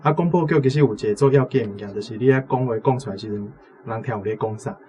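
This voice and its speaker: A man's voice: medium pitch, fast talker, mellow, a little hiss or hum. A man with a Taiwanese accent, a language teacher giving explanatory commentary off the cuff. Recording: music playing behind the voice. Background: instrumental music.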